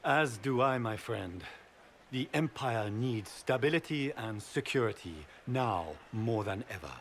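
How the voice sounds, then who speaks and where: An actor playing a proud, scheming noble duke in a video game, with formal, courtly, theatrical speech. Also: male voice, mid-range voice, animated, unhurried, fairly bright tone, a little hiss or hum. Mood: grave, conspiratorial.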